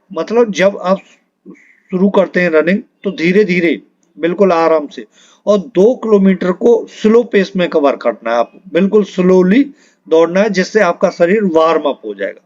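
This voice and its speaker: A man coaching students in an informal, instructive way, repeating key points and often adding short check-in tags. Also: high-pitched. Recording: dull lo-fi audio.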